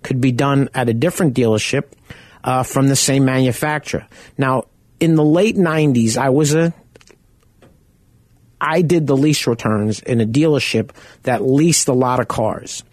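A man hosting a car-buying radio show from home, talking in a loud, chatty, conversational way. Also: medium pitch, normal speed, no echo. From Arizona. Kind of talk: casual radio talk.

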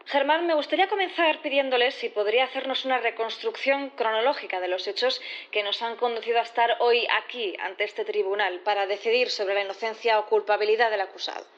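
An actress playing a formal, composed prosecutor who questions a witness methodically and courteously in a courtroom audio drama. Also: female voice, on the high side, fairly quick, lo-fi sound, natural voice.

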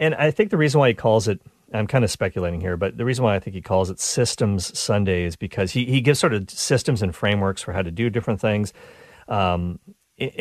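A man's voice: fairly low pitch, no room sound, clean studio sound. A man hosting a radio talk show, enthusiastic and chatty, a little stuffed up, restarting words as he goes. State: stuffed up nose. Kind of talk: upbeat radio show monologue.

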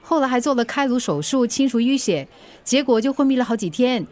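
An actress playing a plucky, stubborn delivery rider and mother in a radio drama, expressive and colloquial with a Singaporean accent. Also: on the high side, fairly quick, natural voice. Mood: chatty. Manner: expressive, colloquial, self-urging. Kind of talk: Mandarin radio drama acting.

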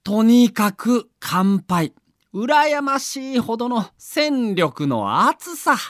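A man's voice: extremely high pitch, very animated, extremely slow, no room sound, studio quality. A man narrating a sports documentary in a theatrical, emphatic storytelling manner.

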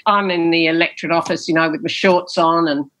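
Emphatic woman, lowish voice. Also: steady tone, brisk, a bit bright. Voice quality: big theatrical voice. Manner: emphatic, repeats key phrases, frequent "you know". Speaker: former politician, interview guest. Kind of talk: interview answers, reflective storytelling.

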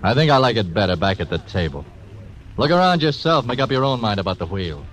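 An actor playing a blunt, tough croupier in a 1940s radio drama. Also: male voice, fairly low pitch, sing-song, quick pace, rough recording. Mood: curt. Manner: curt, blunt. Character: tough, curt casino croupier.

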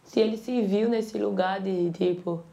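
Gravelly woman. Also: medium pitch, steady tone, unhurried, mellow. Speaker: reality show contestant. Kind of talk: casual storytelling.

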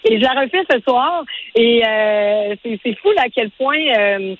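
A woman in her forties with a Quebec French accent, talking as a radio interview guest in a halting, conversational way. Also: on the high side, lively intonation, narrow, tinny audio, a little hiss or hum. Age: 40s. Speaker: interview guest, event organizer and matchmaker.

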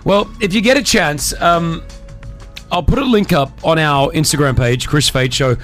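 Joking radio host with music behind. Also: male voice, fairly high pitch, moderate pace, a bit bright. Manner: joking, laughs often. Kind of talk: casual radio chat taking calls.

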